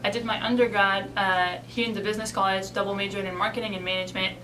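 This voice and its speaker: A woman's voice: medium pitch, level tone, quick pace, distant mic. Woman in her 20s from belgium, chatty interview answers, a bit off mic, faint hiss. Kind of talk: casual interview.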